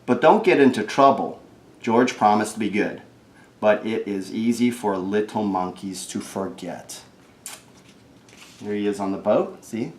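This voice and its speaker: Unhurried man reading a storybook, roomy sound. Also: lowish voice, steady tone, darkish tone. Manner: informal, chatty commentary on the pictures between read lines. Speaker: storybook reader. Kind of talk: animated read-aloud of a children's picture book with casual asides.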